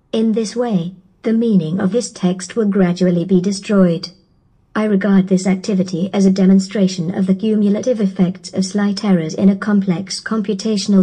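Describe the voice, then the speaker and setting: A synthetic female voice-assistant voice from a smart speaker, reciting text in an even, machine-read way. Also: medium pitch, slightly rough. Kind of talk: synthetic text-to-speech reading.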